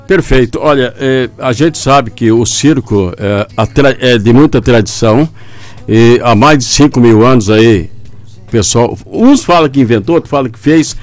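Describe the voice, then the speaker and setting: A man's voice: mid-range voice, normal speed, faint music behind. A Brazilian man hosting a casual radio interview in a chatty, informal way. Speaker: radio host. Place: radio studio.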